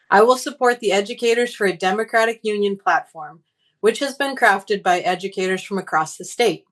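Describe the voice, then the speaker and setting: A woman delivering a prepared campaign speech as a union candidate, speaking in an earnest, deliberate, formal manner. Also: mid-range voice, a bit bright. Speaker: union candidate, teachers' organizer.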